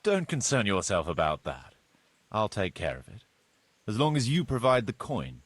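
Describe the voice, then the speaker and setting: Video game role: practical, courteous village steward, husky and measured, recorded close and dry. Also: male voice, on the low side, wildly swooping pitch, no room sound, exaggerated voice. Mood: helpful, matter-of-fact. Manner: measured, formal, instructive. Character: practical medieval village steward, courteous, businesslike adult man. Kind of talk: video game cutscene dialogue, explanatory.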